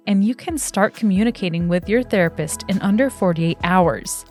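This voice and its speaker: A woman reading a scripted sponsor message at a deliberate, reassuring pace. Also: mid-range voice. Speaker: ad reader.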